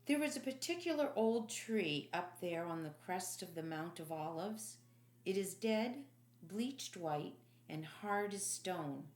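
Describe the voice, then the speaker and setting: A woman voicing gruff, matter-of-fact male prison guards in an audiobook story. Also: on the low side, roomy sound.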